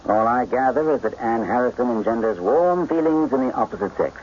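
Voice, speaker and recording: A man playing a brisk, exacting detective gentleman in a radio mystery, speaking formally and precisely with a commanding, clipped manner. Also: mid-range voice, lightly acted. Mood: calm, probing. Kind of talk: radio mystery drama acting. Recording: dull, noisy old radio drama broadcast.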